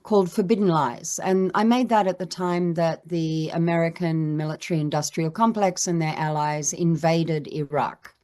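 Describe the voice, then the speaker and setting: A middle-aged woman with a fairly low voice, speaking as a documentary filmmaker in an interview. She answers in a reflective, measured way, pausing often as she gathers her thoughts. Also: fairly even intonation, a little mellow. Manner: reflective, measured, with frequent pauses.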